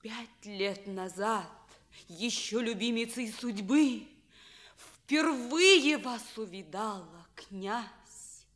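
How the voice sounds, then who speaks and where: Ardent, bright-voiced captive princess. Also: female voice, a little high, very slow. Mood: proud, agitated. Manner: declamatory, breathy stage whispers swelling to outcries. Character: enslaved khan's daughter, passionate, scheming, lovelorn princess. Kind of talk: theatrical radio drama acting.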